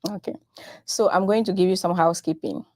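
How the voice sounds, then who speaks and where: A French-speaking woman hosting a live-streamed interview, talking in a halting, unhurried way and moving between English and French. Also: lowish voice, fairly even intonation, a little mellow. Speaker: host of a live show.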